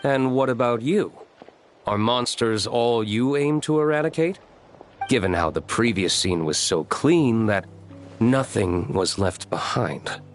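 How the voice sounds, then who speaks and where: A man voicing a composed, serious gentleman vigilante in a video game, speaking in a measured, formal, probing way. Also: medium pitch, lively intonation, soft background music, natural voice. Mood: probing, cool.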